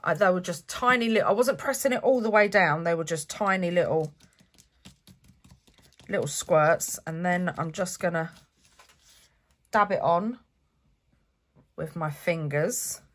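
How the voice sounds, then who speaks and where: A woman's voice: fairly low pitch, unhurried, pauses often, a bit bright. Chatty woman, stuffy from a cold. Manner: chatty, self-correcting step-by-step explaining. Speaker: craft channel host. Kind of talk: relaxed craft tutorial narration. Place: home craft desk, indoors.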